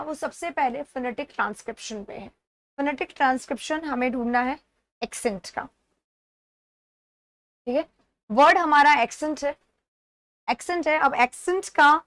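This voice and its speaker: Indian accent lady teacher, pretty high voice, dry close sound, explains exam stuff step by step n repeats key words. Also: fairly bright tone, no room sound. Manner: instructive, repeats key words and checks understanding. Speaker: English teacher.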